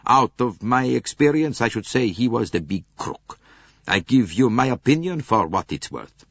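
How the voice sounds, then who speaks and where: A man doing a gushing Italian salesman, loud. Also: medium pitch, animated, normal speed, no echo, voice pushed up. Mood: expansive. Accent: slight Italian. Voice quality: gushing, expansive. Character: beaming, voluble, good-natured Italian male salesman. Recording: dull lo-fi tape.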